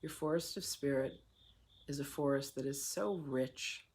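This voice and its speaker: A meditation guide speaking in a soothing, unhurried way with long pauses, leading a guided meditation. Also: medium pitch, a little mellow, husky.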